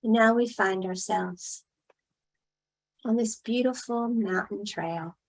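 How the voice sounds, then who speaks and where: Slow-spoken woman leading a guided meditation. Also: medium pitch, vocal fry, rough recording. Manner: unhurried short phrases with long pauses.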